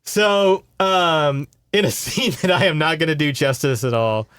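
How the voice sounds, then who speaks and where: A man's voice: a little high, measured pace, fairly bright tone, clear, resonant tone, no echo, clean studio sound. A man hosting a podcast, giving a casual, rambling, sweary book report in conversation. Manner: informal, sweary, rambling storytelling.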